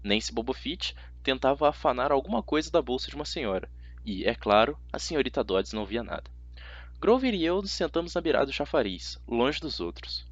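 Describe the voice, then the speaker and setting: A man with a Brazilian accent narrating a first-person story as an amateur audiobook reader, dramatic and expressive. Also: medium pitch, a little fast, dry recording. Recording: slightly dull, dry audio.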